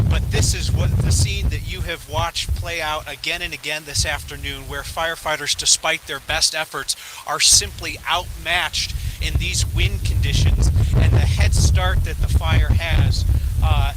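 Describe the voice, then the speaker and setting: A man working as a field reporter, giving a somber, halting, descriptive live report outdoors in the wind. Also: fairly high pitch. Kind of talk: live breaking news reporting. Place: outdoors at a trailhead in high wind. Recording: loud wind and outdoor noise behind.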